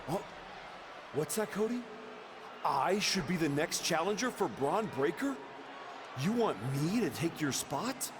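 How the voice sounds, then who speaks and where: An actor playing a cocky, vengeful pro wrestling villain in a video game, boastful and taunting. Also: male voice, high voice, very animated, unhurried, long pauses, background noise. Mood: mocking.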